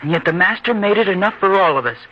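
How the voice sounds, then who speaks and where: An actor playing an earnest, stubborn young son in an old-time radio drama, speaking in an eager, theatrical way. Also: male voice, high-pitched, lively intonation, fast talker, sharp tone, full character voice. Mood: stubborn, insistent. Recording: dull, noisy old-time radio recording.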